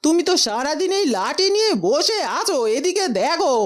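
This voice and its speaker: A woman's voice: extremely high pitch, very animated, moderate pace. A woman playing an affectionate middle-aged mother with a homely, coaxing manner in a fairytale cartoon. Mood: fond, delighted. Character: affectionate, doting village-born mother, middle-aged wife.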